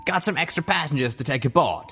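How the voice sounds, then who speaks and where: A man's voice: on the high side, expressive, fast talker, creaky, a bit bright, voice pushed up. A man doing a wry, bold pony mare. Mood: brisk. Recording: music playing underneath.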